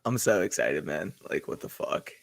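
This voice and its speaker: A young adult man with a hoarse voice, co-hosting a casual livestream and teasing playfully with lots of slang. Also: deep voice, very animated, normal speed, dry recording. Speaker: co-host.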